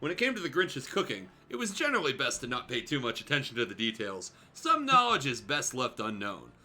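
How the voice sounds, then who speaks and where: A man's voice: mid-range voice, huge pitch swings, fairly quick, pushed and bright. A man hosting a show, reading fan fiction aloud casually and comically, with playful, sardonic asides.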